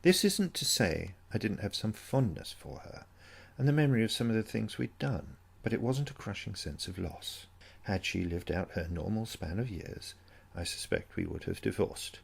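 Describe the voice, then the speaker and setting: A man narrating a first-person story from a script in a measured, literary way. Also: on the low side, animated, vocal fry, breathy edge.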